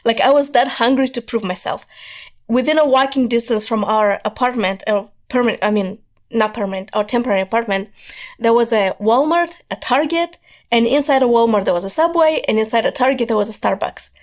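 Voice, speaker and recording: A woman, telling her life story as a podcast guest, hoarse from losing her voice and talking in an earnest, driven way. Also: on the high side, a little fast. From Moldova. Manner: earnest, driven storytelling, repeats words for emphasis.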